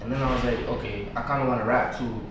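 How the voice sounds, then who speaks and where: A man's voice: mid-range voice, steady tone, fast. Young New York guy, very echoey recording. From New York, United States. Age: young adult. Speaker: musician guest on a podcast. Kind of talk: casual slangy interview chat. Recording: echoey room, distant mic, background noise.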